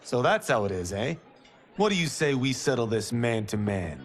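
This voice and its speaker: Man playing cocky young farmer, lively intonation. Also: mid-range voice, moderate pace, lightly acted. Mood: cocky teasing. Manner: boastful, teasing. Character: cocky, restless young male farmer hungry for glory. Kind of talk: dramatic video game acting.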